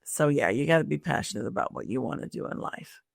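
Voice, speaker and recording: A woman with a creaky voice, speaking conversationally as an interview host and career coach. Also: very deep voice, very animated, fast talker, dry recording, clean studio sound.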